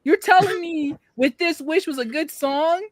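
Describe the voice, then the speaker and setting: A woman's voice: high-pitched, a little slow, a crowd in the background. A woman chatting casually on a panel about films, arguing her points emphatically in slangy speech. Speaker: podcast panelist.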